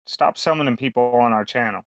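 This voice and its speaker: A man's voice: medium pitch, fast, a bit bright, dry recording. A man co-hosting a podcast, rambling through a casual, crude chat full of fillers and swearing. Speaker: podcast co-host. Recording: dull lo-fi sound.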